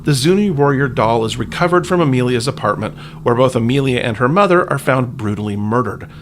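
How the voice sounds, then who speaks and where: A man's voice: mid-range voice, lively intonation, brisk, background noise. A man hosting a podcast in a conversational narrating style, with wry, colloquial asides. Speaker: podcast host.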